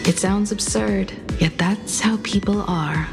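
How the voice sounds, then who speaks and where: An actress playing a calm, grave, perceptive adviser in a video game, speaking in a measured, earnest way over music. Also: female voice, medium pitch, creaky. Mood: reflective. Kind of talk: scripted video game voice acting. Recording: loud game music underneath.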